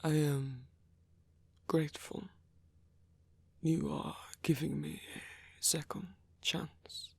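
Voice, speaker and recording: A man playing a lonely, courteous old vampire in an intimate ASMR roleplay, speaking in a breathy, hesitant, softly formal way. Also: on the high side, level tone, slow, pauses often, no room sound, full character voice. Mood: shy, apologetic. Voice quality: breathy, husky, gravelly whisper.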